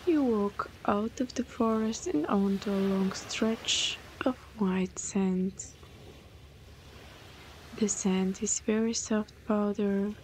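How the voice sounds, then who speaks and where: A woman leading a guided meditation in a soothing, breathy voice that often softens almost to a whisper. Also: medium pitch, fairly even intonation, slow, low-quality recording. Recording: clear background noise, ambient sound.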